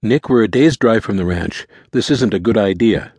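A man's voice: on the low side, lively intonation, normal speed, no echo, studio quality. A man voicing a blunt, suspicious, hard-edged traveller in a thriller story, with a snarling, distrustful manner. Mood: scornful.

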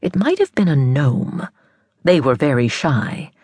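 A woman narrating an audiobook of fiction in a measured, storybook manner with careful phrasing. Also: sits low, sing-song, darkish tone, breathy edge, no echo.

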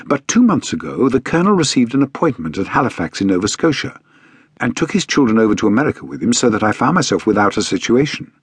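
A man's voice: medium pitch, sing-song, quick pace, breathy edge, no echo. A man voicing a polite, self-reliant young British governess in an audiobook, earnest, courteous and precise. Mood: matter-of-fact. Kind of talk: audiobook character acting.

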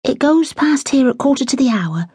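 An excitable young serving girl who fires off eager, breathless questions, as a woman puts her on in a storybook. The voice is pushed up well above the reader's own, with wide, lively swings in pitch. A slight huskiness stays in it, and the sound is dry. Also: normal speed, breathy edge, no room sound, heavily acted. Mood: pleading.

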